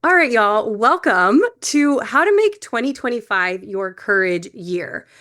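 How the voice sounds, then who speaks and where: A woman's voice: on the high side, lively intonation, a little slow, fairly bright tone. A woman with a stuffy, slightly nasal voice from a cold, giving an encouraging motivational webinar as a coach and podcast host. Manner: encouraging, direct address to listeners. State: congested, a little nasal from a cold.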